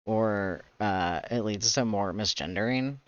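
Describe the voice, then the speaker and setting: A man with a lowish voice talks casually to a livestream as he works. He rambles and hesitates, hunting for words. The sound is close and dry, though a little dull. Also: a little slow, dry recording. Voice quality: raspy. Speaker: streamer, webcomic artist. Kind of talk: casual chatty livestream monologue. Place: indoors, livestream.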